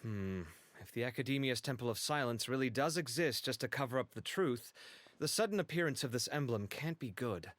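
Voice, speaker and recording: An actor playing an expressive, earnest young man in a video game, with an emphatic conversational delivery. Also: mid-range voice, brisk, no echo, lightly acted. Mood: troubled, determined.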